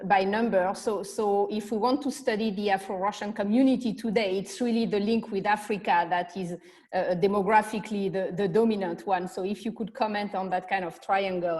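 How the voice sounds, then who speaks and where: A woman with a French accent poses a long, winding question in an academic discussion, circling back and restarting as she goes. Her voice sits at an ordinary pitch for a woman. It rings with a long reverb tail. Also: a little mellow. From France. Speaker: participant asking a question.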